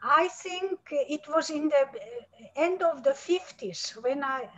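An older woman speaking haltingly over a video call, with many fillers and restarts. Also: sits high, lively intonation. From Slovakia, Hungarian-speaking border town. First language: Hungarian. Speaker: interviewee, artist and Holocaust survivor's daughter. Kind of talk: video-call interview, showing slides. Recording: dull lo-fi call audio.

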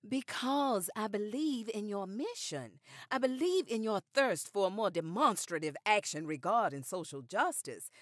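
Dignified older lady activist, 1910s radio drama, formal diction, lively intonation, dry sound. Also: medium pitch, a little slow, hardly any pauses, no room sound. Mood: firm, measured. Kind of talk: period radio drama acting.

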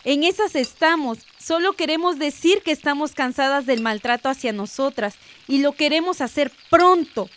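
A woman's voice: sits high, moderate pace, hardly any pauses, a bit bright, slight background noise. An actress with a Mexican accent playing a concerned, cautious village mother in a radio drama. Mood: concerned, earnest.

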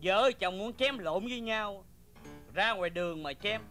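An actor playing a bossy gangster-for-hire in a comic sketch, curt and commanding, with a Southern Vietnamese accent. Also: male voice, high voice, normal speed, bright, forceful tone, soft background music. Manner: curt, commanding, barking orders. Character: small, bossy gangster-for-hire demanding silence. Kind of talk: comic stage sketch acting.

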